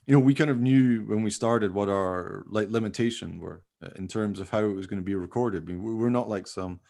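Low, creaky-voiced man chatting casually. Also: brisk, dark tone. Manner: rambling, informal, often hedging with "you know". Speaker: podcast host, radio play writer. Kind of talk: casual chat.

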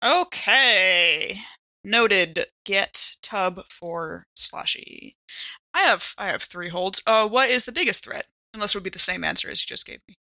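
A woman with a bright, crisp-edged voice at a middling pitch, heard in a dry recording with no room echo. She talks casually, thinking aloud as a player in a tabletop roleplaying game. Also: lively intonation, a little slow.

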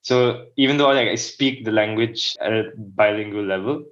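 A man's voice: fairly low pitch, normal speed, lo-fi sound. A young man from the Philippines, a podcast guest, who talks casually and rambles with many restarts and "like" fillers. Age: young adult. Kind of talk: casual interview talk.

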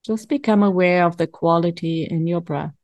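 A woman guides a meditation in a soft-edged, relaxed voice set a little low. She speaks very slowly, with calm instructions and long pauses between phrases. The sound is dry and intimate, with hardly any room. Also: no room sound. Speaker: meditation coach.

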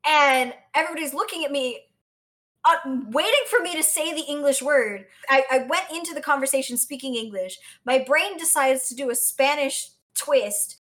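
An American woman from South Florida chatting casually as a podcast co-host, telling stories in an animated way. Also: sits high. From South Florida, United States. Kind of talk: casual conversational chat.

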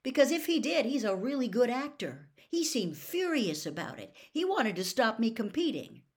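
A woman's voice: fairly high pitch, big pitch swings, moderate pace, pitched above the performer's own voice. A woman voicing an anxious teenage boy wizard, asking alarmed questions in a raised voice.

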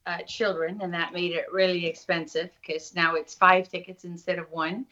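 Female interview guest, middle-aged, South African accent, fairly low register, reflective, dull lo-fi sound. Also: level tone, normal speed, pushed and bright. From Durban, South Africa. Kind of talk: conversational interview. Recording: slightly dull, lo-fi audio.

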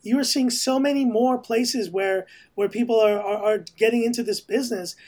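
A man's voice: very high-pitched, fairly even intonation, moderate pace, darkish tone. A man with an American accent, a podcast guest talking casually and rambling with many restarts. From raised in Hong Kong, family from El Salvador. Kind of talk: casual conversation.

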